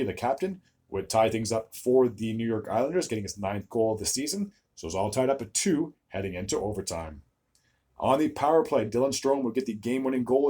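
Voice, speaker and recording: A young man hosting a solo hockey recap video, his voice a bit raspy from recording several videos in a row, stumbling over player names and filling gaps with "uh". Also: medium pitch, mellow. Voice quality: slightly raspy, tired voice.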